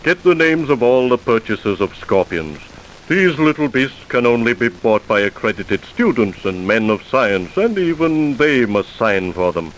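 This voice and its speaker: A man's voice: medium pitch, very animated, normal speed, natural voice, low-quality recording. A man playing a methodical scientist professor in an old radio drama, humming and mumbling "mm" and "uh" as he explains. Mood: explaining. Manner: mumbling "mm" and "uh", wry asides. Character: older male scientist professor, methodical and dry. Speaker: forensic professor. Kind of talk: 1940s radio drama acting. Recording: hissy old radio recording.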